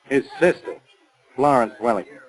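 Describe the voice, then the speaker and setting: An actor playing a gruff, matter-of-fact police inspector with an American accent in 1940s radio drama style. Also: male voice, mid-range voice, moderate pace, lightly acted, poor audio. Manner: blunt, slangy. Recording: remastered 1940s radio recording.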